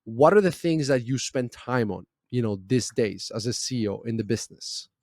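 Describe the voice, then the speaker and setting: A man's voice: medium pitch, expressive, moderate pace, a little mellow, no echo, clean studio sound. A man hosting a podcast interview, asking long, winding questions with frequent restarts. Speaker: podcast host.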